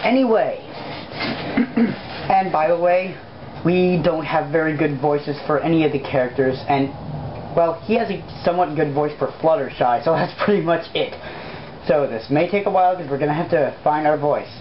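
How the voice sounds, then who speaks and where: A woman with a fairly low voice reads a story aloud in a casual, amateur way. She stumbles and drops in self-deprecating asides. The sound is dull and lo-fi, with clear background noise. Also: moderate pace. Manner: informal, self-deprecating, stumbles over hard words. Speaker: host, amateur fan fiction reader.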